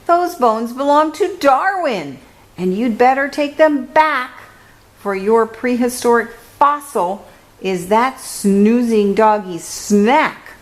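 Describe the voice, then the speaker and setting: A woman's voice: a little high, animated, slow, voice pushed up. A woman putting on a bossy, scolding cat mama. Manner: scolding, commanding. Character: bossy cat mother scolding her kitten.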